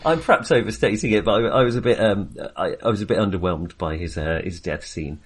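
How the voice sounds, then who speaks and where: A man co-hosting a podcast, chatting casually about films in a halting, jokey, self-deprecating way. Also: low-pitched, slightly rough. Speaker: podcast co-host. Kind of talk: casual film discussion.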